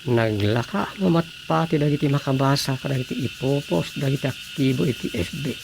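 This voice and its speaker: A man playing a chatty, opinionated husband in a radio drama comedy, with an Ilocano accent and a gravelly voice. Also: mid-range voice, brisk, background noise, close to an everyday voice. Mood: musing.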